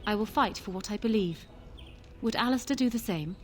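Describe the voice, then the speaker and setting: An actress playing a proud, ambitious queen in a fantasy video game, speaking formally with calm, measured persuasion. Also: medium pitch, faint music behind, close to an everyday voice. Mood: firm, resolute. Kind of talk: fantasy game dialogue acting.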